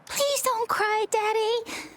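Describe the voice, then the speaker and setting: A woman's voice: extremely high pitch, steady tone, slow, fairly bright tone, full character voice. A woman voicing a sweet, anxious little girl puppet in a video game, childlike, timid and plaintive. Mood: frightened, pleading. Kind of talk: video game cutscene acting.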